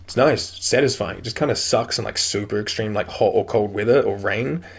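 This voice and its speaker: A man's voice: fairly low pitch, a little fast, husky. A young man with a New Zealand accent, reading a video game's dialogue aloud and joking between lines as a YouTuber. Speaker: gaming YouTuber. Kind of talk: reading game dialogue aloud with casual commentary.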